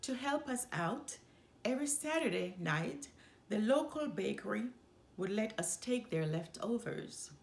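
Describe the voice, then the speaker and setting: A woman reading a children's book aloud in an expressive storytelling style, in short, deliberate phrases with pauses for emphasis. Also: fairly high pitch. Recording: roomy, echoing room sound.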